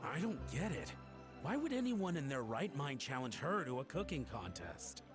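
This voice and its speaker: A man's voice: medium pitch, sing-song, normal speed, lightly acted. A man playing a baffled, skeptical townsperson in a video game. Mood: puzzled. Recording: clear music under the voice.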